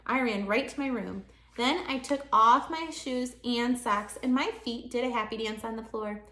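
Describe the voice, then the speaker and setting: High-voiced woman teacher reading aloud, echoey room. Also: moderate pace, bright, forceful tone. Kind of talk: children's storybook read-aloud.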